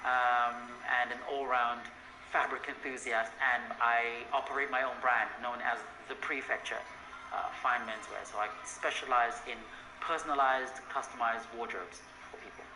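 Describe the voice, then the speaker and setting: A man from Zimbabwe with a Zimbabwean accent, a menswear designer, introducing himself in a relaxed, chatty way. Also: mid-range voice, normal speed, reverberant, background noise. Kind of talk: interview self-introduction.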